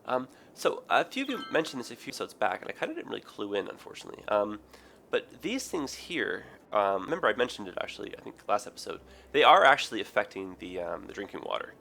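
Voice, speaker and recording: Hoarse, tired man with a gravelly voice. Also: lowish voice, very animated, quick pace, sharp tone, a little hiss or hum. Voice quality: raspy. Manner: rambling, many ums and self-corrections. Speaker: gaming video host. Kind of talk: casual let's play commentary.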